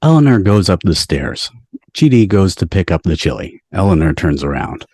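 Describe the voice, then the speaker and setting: Casting: male stage-direction reader for a script table read, low voice, dry sound. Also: sing-song, normal speed, a little mellow, breathy edge, dry recording. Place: home, on a video call.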